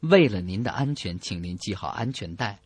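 A man's voice: lowish voice, lively intonation, moderate pace, husky, no echo, voice pushed down. A man voicing a polite female flight attendant making cabin announcements in a radio joke. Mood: polite, official.

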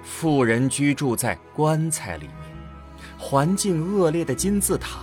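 A man's voice: a little high, big pitch swings, measured pace. A man gives dramatic scripted narration for a science fiction audio drama, in a storytelling style. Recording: background music under the voice. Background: music.

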